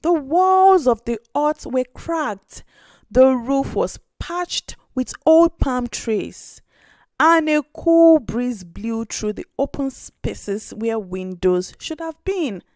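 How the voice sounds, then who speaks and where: A woman storyteller reading a scripted folktale with expressive narration. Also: high-pitched, slow pace, no echo.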